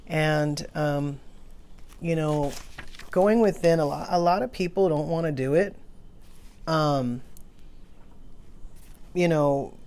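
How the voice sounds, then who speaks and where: Low-pitched woman presenting an oracle card reading. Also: slow, pauses often, darkish tone. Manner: informal, musing, conversational. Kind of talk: casual rambling card reading, partly read aloud from a guidebook.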